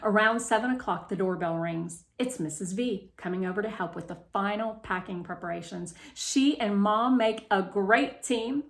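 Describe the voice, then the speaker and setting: A woman reading a children's novel aloud expressively, with deliberate phrasing. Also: mid-range voice, roomy sound. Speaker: read-aloud reader.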